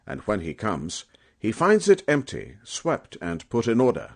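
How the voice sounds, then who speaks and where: A man narrating scripture as a dramatic audiobook reading, with a solemn, emphatic and measured oratorical delivery. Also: fairly low pitch, huge pitch swings, vocal fry.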